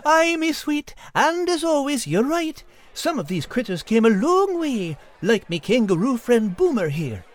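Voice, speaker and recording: A man voicing an excitable, literal-minded animal party host with a folksy Irish accent in a comic audio drama. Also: extremely high pitch, a little slow, exaggerated voice.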